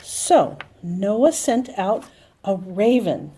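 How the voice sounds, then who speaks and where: A woman telling a story to young children in an expressive, dramatic manner, with emphatic repeated phrases. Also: mid-range voice, drawn-out words, breathy edge. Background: music and sound effects.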